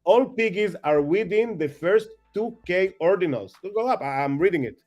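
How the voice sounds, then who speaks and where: A man with a somewhat high voice talks in excited, rambling bursts as a guest. He jokes at his own expense, and his phrasing is that of a non-native speaker. Faint music plays behind him. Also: moderate pace, highs cut off. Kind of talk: casual chat.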